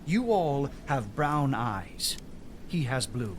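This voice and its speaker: An actor in an audio drama playing a boastful, sneering senior knight with a mocking, theatrical delivery. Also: male voice, mid-range voice, very animated, slow, noisy background.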